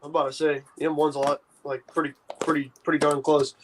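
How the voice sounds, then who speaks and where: A man's voice: a little high, flat delivery, fast, fairly bright tone, slight background noise, low-quality recording. A man in his thirties talking casually as a co-host. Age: 30s. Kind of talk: casual chat.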